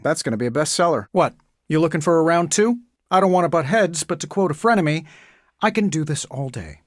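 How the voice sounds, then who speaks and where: Glib billionaire genius superhero for a game: higher-set male voice, lively swings, sarcastic and sure of himself. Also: no echo, clean studio sound. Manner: wry, glib, quippy delivery. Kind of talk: video game voice lines.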